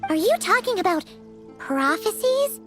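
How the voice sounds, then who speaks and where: High, animated, earnest fae girl. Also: measured pace, over music, heavily acted. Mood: curious, thoughtful. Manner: earnest, expressive, insistent. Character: young bird-winged fae girl, eager, stubborn, earnest. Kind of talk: video game voice acting.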